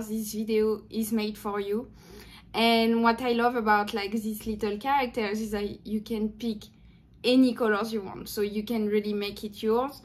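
A young French woman with a thick French accent, hosting a casual crochet tutorial to camera in a small room, chatty and upbeat with plenty of fillers. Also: a little high. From France. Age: young adult. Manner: chatty and upbeat, many "like"s, simple English.

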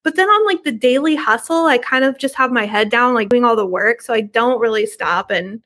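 A middle-aged woman with a fairly high voice talks as a podcast guest. She chats informally and conversationally over a video call from a room at home. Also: fairly quick, pushed and bright.

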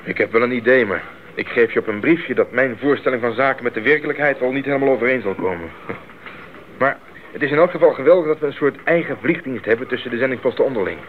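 A man's voice: medium pitch, quick pace, lightly acted. An actor playing an idealistic, eager young male missionary in a 1980s radio drama, speaking earnestly and articulately. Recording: narrow-band 1980s radio recording, faint noise.

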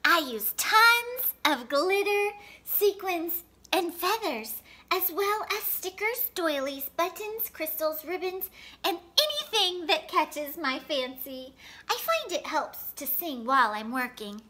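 An excitable little girl fairy princess from a storybook, acted out by a woman, high and bright. She gushes dramatically, proud of every sparkle. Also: slow pace, exaggerated voice. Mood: delighted. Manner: expressive, dramatic, gushing.

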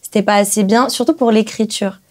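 A young woman from Brazil, a native Portuguese speaker, chatting as a guest singer in a small music studio, relaxed, with many pauses. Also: mid-range voice. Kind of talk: casual interview chat. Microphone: studio mic on stand.

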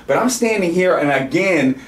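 Excitable man telling stories in a roomy studio. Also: high voice, moderate pace. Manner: animated, excitable, swears freely, many restarts. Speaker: podcast host. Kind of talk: casual chat, storytelling. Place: indoor studio with camo backdrop, at a table. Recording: roomy and distant with faint noise.

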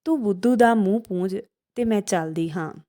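A woman's voice: medium pitch, normal speed, dark tone, close to an everyday voice. A woman voicing a boastful, taunting runaway piece of fried bread in a children's storybook tale.

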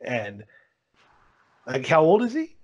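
A man's voice: mid-range voice, huge pitch swings, slow pace, slightly rough, lo-fi sound. A man co-hosting a casual podcast, rambling with lots of "like" and "dude" as he spins a story. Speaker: podcast co-host.

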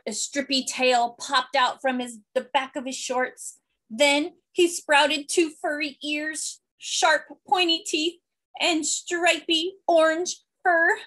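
A woman in her thirties reading a children's picture book aloud expressively, with deliberate, simple phrasing and emphasis. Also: sits high, a bit bright, low-quality recording. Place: small indoor room.

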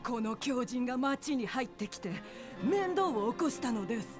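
An actress playing a composed, firm townswoman in a dark fantasy game, speaking politely but with urgency. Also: fairly high pitch, animated, normal speed, close to an everyday voice. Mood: firm, apologetic. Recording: music under the voice.

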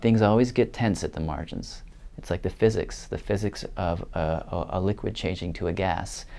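Low gravelly man explaining. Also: warm tone. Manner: slow, explanatory, uses analogies. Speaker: interviewee. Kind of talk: reflective interview answer. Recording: faint music bed.

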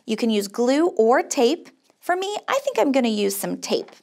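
A woman with an American accent and a high voice guides young children through a craft. She sounds upbeat and encouraging, like a camp counselor, explaining each step simply and offering sing-song praise. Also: sing-song, moderate pace, gravelly, darkish tone. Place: small indoor studio set.

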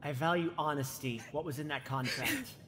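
A man with a somewhat high voice delivers improvised comedy dub dialogue. His voice rings with long reverb over some noise. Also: normal speed, bright, forceful tone.

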